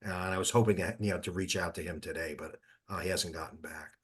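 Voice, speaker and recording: A man giving an informal update on an online call in a halting, conversational way. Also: low voice, steady tone, husky. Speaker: meeting participant giving updates. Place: online teleconference.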